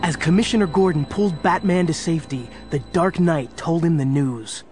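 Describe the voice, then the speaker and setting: A man acts as narrator of a superhero adventure. His voice sits a little high, and he tells the action dramatically over a clearly audible musical score. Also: moderate pace, darkish tone, faint music behind, close to an everyday voice. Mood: dramatic.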